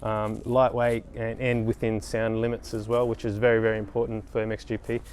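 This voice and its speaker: A man in his thirties, a race mechanic talking casually in an interview, with many pauses and restarts. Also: fairly low pitch, completely flat delivery, darkish tone, a little hiss or hum. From Australia. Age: 30s. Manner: hesitant, many ums and restarts.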